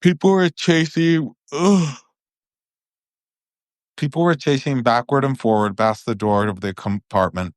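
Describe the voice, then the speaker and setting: A man's voice: lowish voice, no room sound, clean studio sound. A man narrating a storybook for bedtime in an informal, occasionally stumbling way.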